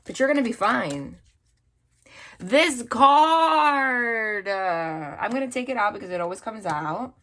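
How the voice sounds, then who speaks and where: A woman's voice: fairly high pitch, animated, takes it slowly, a bit bright. A woman with a New York accent, chatting casually and rambling through a tarot reading at a table, with many restarts and asides. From New York / New Jersey area. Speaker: tarot reader. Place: indoors at a table, hands over cards.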